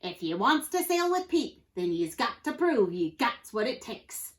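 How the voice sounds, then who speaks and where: A woman's voice: on the high side, very animated, a little slow. A woman voicing a bossy pirate parrot in a storybook, squawking in pirate slang. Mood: commanding.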